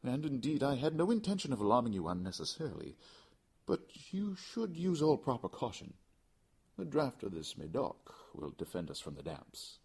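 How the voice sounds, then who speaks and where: A man's voice: medium pitch, huge pitch swings, moderate pace, creaky, darkish tone, slightly rough, voice pushed up, heavily acted. A man playing a cunning aristocrat who feigns concern, courteous and insinuating, in a theatrical audiobook style. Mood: falsely concerned. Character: cunning, falsely solicitous aristocrat leading his victim on. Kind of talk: theatrical audiobook character acting.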